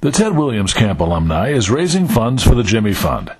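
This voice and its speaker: A man's voice: fairly low pitch, sing-song, a little fast, slightly rough. A man reading a scripted charity announcement. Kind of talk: scripted charity appeal read.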